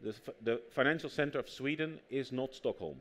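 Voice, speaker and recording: A man's voice: medium pitch, fairly quick. A man with a Dutch accent, a native Dutch speaker, telling anecdotes as an author being interviewed at a public talk. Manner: lively anecdotal storytelling, acts out quotes. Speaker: author and anthropologist guest. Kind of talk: conversational interview on stage.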